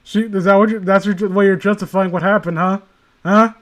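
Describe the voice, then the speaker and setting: A man with a distinctly high-pitched voice reads a story aloud for laughs. He hosts the read-along and keeps breaking off into irreverent, mocking asides. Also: fairly even intonation, fairly quick, fairly bright tone. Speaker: host reading a creepypasta aloud with friends. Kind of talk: comedic read-along with improvised riffing.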